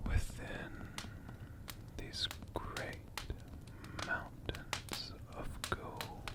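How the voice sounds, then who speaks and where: A man in a friendly dragon persona, telling stories in a slow, hushed ASMR whisper with playful asides to the listener.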